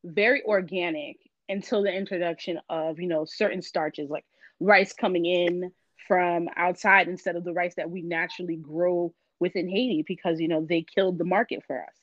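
A woman from Miami, Florida speaks as a podcast guest, relaxed and easygoing with plenty of ums. Her voice comes through a dull, muffled line, and the sound is dry, with no room around it. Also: on the low side, normal speed, no room sound. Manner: relaxed, frequent ums and laughs. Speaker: guest, vegan business owner. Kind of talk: casual interview chat.

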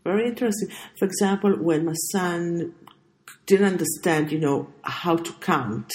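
Low-voiced woman interviewing a guest. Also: moderate pace, a little mellow. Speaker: podcast host.

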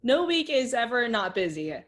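A woman's voice: a little high, big pitch swings, moderate pace, slight background noise. A woman in her twenties, host of a podcast, reading a scripted intro and interviewing a guest in an upbeat way. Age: 20s.

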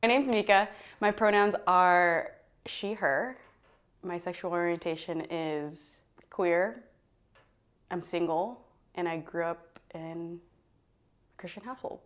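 A woman speaks at a slow pace in a slightly low voice. She introduces herself simply, as if at the start of an interview.

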